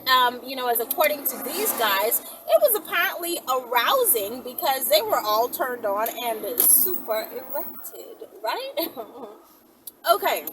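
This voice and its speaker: High-pitched woman, playful rambling storyteller, clear vehicle noise behind her, casual vlog. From the Bahamas. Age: 40s. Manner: chatty, playful, rambling with asides. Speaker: vlogger telling stories to viewers. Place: inside a moving vehicle. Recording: distant mic, vehicle noise.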